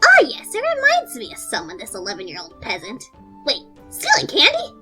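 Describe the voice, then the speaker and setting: A grandiose executioner played by a woman speaks in a high, bright, sharply swooping voice. She is pompous, mocking and gleeful in her menace, like a self-crowned mistress of death in an animated skit, with music playing behind her. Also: fairly quick, heavily acted. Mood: gleeful, menacing. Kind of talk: hobby animated skit voice acting.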